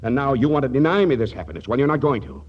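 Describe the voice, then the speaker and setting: Casting: man playing stubborn, sentimental old dad, animated and blustering, old-time radio comedy, muffled recording. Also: mid-range voice, rapid-fire, natural voice. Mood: stubbornly insistent. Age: older. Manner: blustering, insistent. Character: emotional, stubborn old father craving a grandson. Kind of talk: 1940s radio comedy acting.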